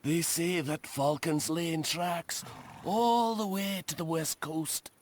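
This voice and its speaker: A man's voice: a little high, slow pace, a little hiss or hum. A man playing a nosy, persistent old man with a prying, insistent way of talking in a video game cutscene. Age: older.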